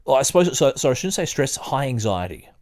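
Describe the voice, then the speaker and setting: A man hosting a podcast, moving between halting, digressive casual talk and reading aloud. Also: mid-range voice, very animated, a little mellow. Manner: hesitant, halting, digressive when talking. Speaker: podcast host.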